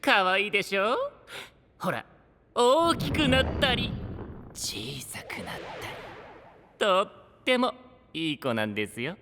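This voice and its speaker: A courteous anime trickster, high and bright with swooping, sing-song teasing. A long hall reverb trails the voice. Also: male voice, sing-song, a little slow, long pauses, background noise, full character voice. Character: playful, polite, mischievous male trickster host. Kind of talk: Japanese anime-style voice drama acting.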